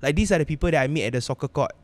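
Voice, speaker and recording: A man working as a radio DJ, chatting as a podcast guest in an animated, expressive way. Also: a little high, very fast, no echo. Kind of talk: casual podcast interview.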